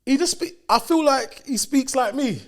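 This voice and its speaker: A young man, a personal trainer co-hosting a podcast, talking in a slangy, animated way and often repeating himself. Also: very high voice, normal speed. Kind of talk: casual chat.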